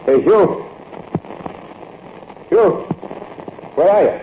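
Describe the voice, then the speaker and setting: A man's voice: high voice, expressive, very slow, a little hiss or hum, full character voice. An actor playing a nervous but loyal henchman in an old-time radio horror drama, jumpy and given to calling out. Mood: anxious, calling out. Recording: echoey, muffled old radio recording.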